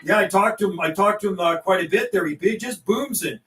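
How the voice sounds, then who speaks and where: Middle aged guy chatting on cb radio, high voice, folksy, says stuff twice, echoey and kinda far from the mic. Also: a little fast, a crowd in the background. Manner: chatty, folksy, repeats himself. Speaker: CB radio operator and livestream host. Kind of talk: casual CB radio chat on a livestream.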